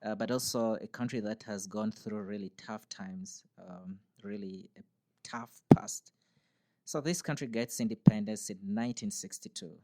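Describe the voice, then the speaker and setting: A man's voice: fairly low pitch, normal speed, mellow. A man from Uganda, a podcast interview guest who talks in a cheerful, explanatory way, recorded in a university podcast studio. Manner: cheerful, explanatory, laughs easily. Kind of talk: casual interview conversation. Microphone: podcast studio mic.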